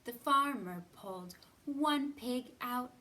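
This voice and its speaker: A woman with a fairly high voice leads a children's storytime at a very slow pace. She is expressive and sing-song, drawing out words as she reads and counts for little listeners. She sounds a little away from the microphone. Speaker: children's librarian hosting storytime. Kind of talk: expressive read-aloud and counting for young children. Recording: somewhat distant from the mic.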